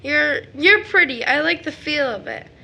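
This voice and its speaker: A woman's voice: high-pitched, lively intonation, moderate pace, creaky, bright, forceful tone, exaggerated voice. A woman playing a sweet, earnest little boy in an audio drama, with a childlike, eager way of talking.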